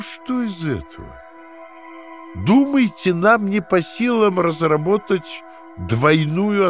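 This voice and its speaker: An actor playing a cruel, domineering party interrogator who lectures theatrically, swinging from hushed to emphatic, in an audio drama. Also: male voice, on the high side. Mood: lecturing. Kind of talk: dramatic audio play dialogue.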